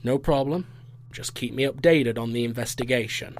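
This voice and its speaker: A man's voice: medium pitch, moderate pace, a little hiss or hum, lightly acted. A man playing a protective, earnest investigations boss in an audio drama, speaking conversationally. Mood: businesslike. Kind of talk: audio fiction acting.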